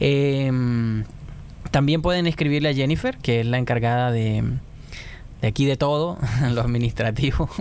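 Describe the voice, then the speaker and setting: A man hosts a relaxed church program with an easygoing, chatty delivery. His voice sits at an ordinary pitch, and a faint noise runs under the recording. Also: normal speed. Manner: friendly, chatty, frequent hesitations. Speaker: host. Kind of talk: casual church talk show. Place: church studio.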